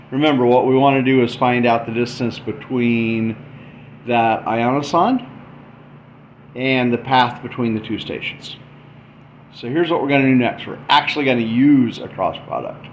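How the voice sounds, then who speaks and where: A man giving an informal tutorial walkthrough, thinking aloud as he explains a demonstration. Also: mid-range voice. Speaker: explainer presenting a math and radio demo. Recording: dull lo-fi mic with faint background noise.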